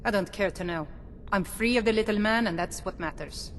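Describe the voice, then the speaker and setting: A woman's voice: mid-range voice, brisk, fairly bright tone, slight background noise, lightly acted. A woman playing a hard, proud bandit chief in a video game, with a brusque, commanding and scornful delivery. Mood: curt, relieved. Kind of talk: scripted game dialogue. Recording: long hall reverb, game music behind.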